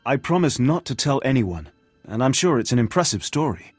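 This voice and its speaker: A man playing a courteous, flattering Sith bodyguard in a video game, with a formal, smooth-talking manner. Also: medium pitch, a little fast, no echo, soft background music. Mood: admiring, flirtatious. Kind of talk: scripted video game dialogue.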